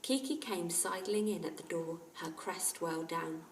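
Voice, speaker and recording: A woman's voice: on the low side, unhurried, creaky, a little hiss or hum. A woman teacher narrating a children's story aloud. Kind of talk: children's audiobook-style narration. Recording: roomy, distant microphone.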